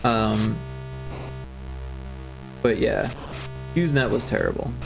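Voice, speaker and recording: Gravelly chatty man in his forties. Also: lowish voice, huge pitch swings, slow pace, over music, poor audio. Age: 40s. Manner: rambling, chatty, lots of fillers and restarts. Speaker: livestreaming game developer. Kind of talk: casual livestream chat.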